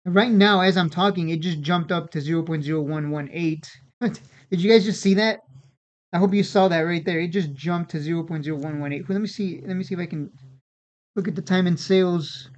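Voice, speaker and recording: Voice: mid-range voice, fairly quick, dull audio. A stock video host giving casual, unscripted market commentary in an informal way, often repeating and restarting phrases.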